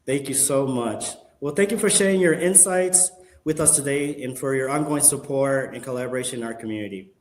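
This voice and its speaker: A man's voice: medium pitch, brisk, a little mellow. A man hosting a community livestream, asking scripted interview questions in a polite, courteous way. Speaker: livestream host. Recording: roomy echo.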